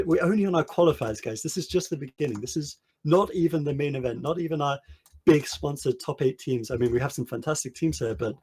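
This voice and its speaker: A man's voice: medium pitch, a little mellow, breathy edge. A man casting a live esports stream, enthusiastically thanking donors while his voice is tiring. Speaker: esports caster.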